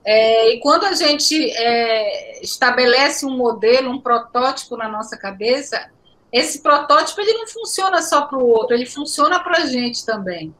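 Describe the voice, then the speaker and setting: A high, bright woman's voice from Pará, Brazil, a professor in her forties talking from home over a laptop webcam on a video call. She is reflective and explanatory, doubling words as she works through an idea. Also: animated, normal speed. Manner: reflective, explanatory, repeats words while searching. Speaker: education professor, guest. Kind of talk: academic interview answers. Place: home room indoors.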